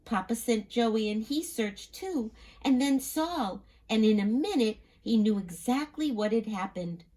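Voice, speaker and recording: A woman's voice: a little high, animated, unhurried, mellow. A woman reading a children's story aloud, expressively, sometimes turning to address her listeners.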